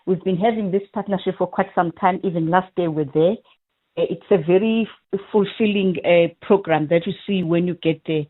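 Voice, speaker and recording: A South African woman speaks formally and haltingly as an official being interviewed. Her voice is fairly low, heard through a narrow phone line. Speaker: provincial health official. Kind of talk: live radio interview. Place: phone call.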